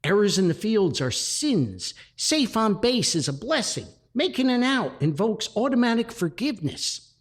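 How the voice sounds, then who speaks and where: Guy doing an excitable church committee man for a comic story, rambles on eagerly, real animated. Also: high-pitched, moderate pace, slightly rough, voice pushed up, exaggerated voice. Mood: zealous, eager. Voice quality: whistling speech through gapped teeth. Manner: eager, long-winded. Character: zealous, excitable short forty-year-old male church committee member.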